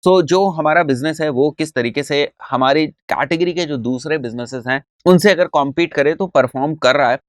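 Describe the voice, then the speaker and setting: A man's voice: a little high, no echo, clean studio sound. A man with an Indian accent explaining as a marketing instructor in a conversational, didactic way, mixing in English phrases and often checking in with short tags. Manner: mixes English terms and phrases into speech.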